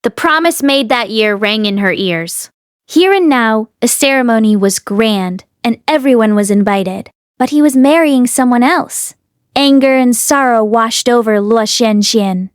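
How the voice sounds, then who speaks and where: A woman narrating a novel as an audiobook reader, in an expressive, emotive storytelling manner. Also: a little high, measured pace, smooth, no room sound, clean studio sound. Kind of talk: dramatic narrated novel reading.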